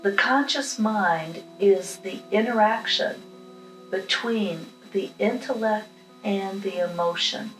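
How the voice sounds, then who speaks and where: A woman leads a guided meditation in a calm, instructional way. Her delivery is markedly slow, with plenty of time given to each short phrase. The sound is noticeably roomy and echoing, with music underneath. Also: mid-range voice. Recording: roomy echo with music behind.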